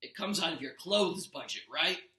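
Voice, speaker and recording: A man's voice: on the high side, expressive, moderate pace, bright, forceful tone. A man voicing a boorish, demanding, suspicious stepfather in a storybook read-aloud. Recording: roomy echo.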